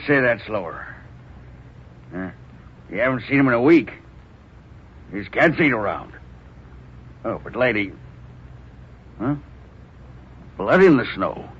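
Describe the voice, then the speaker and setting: An actor in a 1940s radio drama playing a police desk captain who speaks in rough slang. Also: male voice, medium pitch, sing-song, slow pace, pauses often, full character voice. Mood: gruff. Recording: dull lo-fi old radio recording.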